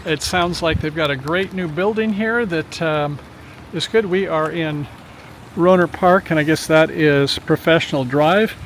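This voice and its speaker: A man's voice: fairly high pitch, fairly bright tone. A man with an American accent giving casual, rambling, unscripted commentary as a community TV reporter. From Minnesota, United States. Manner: chatty, rambling. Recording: busy crowd chatter behind. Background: crowd at an open house event.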